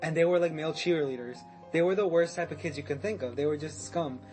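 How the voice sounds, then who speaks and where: A man's voice: on the high side, fast talker, darkish tone, soft background music. A young man guesting on a podcast, telling a story in casual chat, rambling, restarting and swearing, with laughs mixed in.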